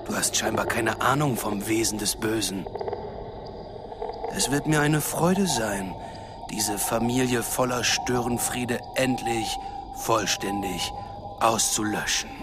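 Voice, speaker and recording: A man's voice: on the low side, takes it slowly, heavy background noise. An actor playing a gloating, sadistic villain in a radio drama, mocking and theatrical as he savours his words. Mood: cruel relish. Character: gloating, sadistic male villain toying with captives. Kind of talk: German radio drama acting.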